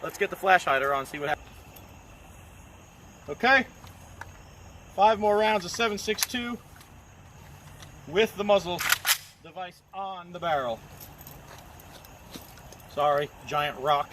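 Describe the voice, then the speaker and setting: A man's voice: sits high, slow pace, long pauses. Plainspoken man outdoors at night. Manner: relaxed, plainspoken, thinking aloud. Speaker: gun reviewer filming a test. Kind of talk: casual outdoor commentary. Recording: noisy outdoor night recording.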